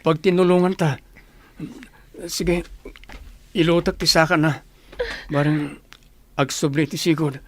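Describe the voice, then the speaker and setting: A man with an Ilocano accent playing a calm, protective young villager in a radio comedy drama. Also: on the high side, a little slow, pauses often. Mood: concerned. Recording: noisy, vehicle hum behind the voice.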